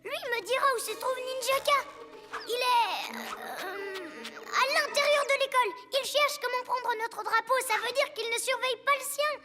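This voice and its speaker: Very high-pitched, boastful little boy hero. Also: moderate pace. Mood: confident. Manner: excited, overconfident, hesitates when bluffing. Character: eager, boastful little boy superhero in lizard costume. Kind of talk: French animated cartoon dubbing. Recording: background music over the voice.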